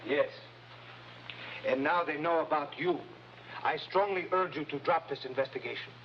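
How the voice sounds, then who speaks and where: Higher-pitched, courteous police lieutenant played by a man. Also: close to an everyday voice. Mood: serious, cautioning. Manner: formal, measured, polite. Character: courteous, grave male Cairo police lieutenant. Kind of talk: 1950s television crime drama acting. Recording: dull, noisy old TV soundtrack.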